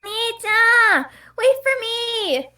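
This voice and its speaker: A woman's voice: very high voice, very animated, drawn-out words, sharp tone, pitched above the performer's own voice, heavily acted. A woman voicing a feisty but obedient young girl, a little sister, in a hobby table read. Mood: whiny, indignant.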